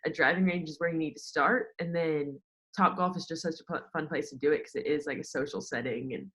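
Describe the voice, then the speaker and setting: Low-pitched young American woman, chatty. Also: fairly quick, a bit bright, low-quality recording. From United States. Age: young adult. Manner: chatty, peppered with "like", quotes herself and others. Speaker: interview guest, former college golfer in sports media. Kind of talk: casual chat, personal anecdotes.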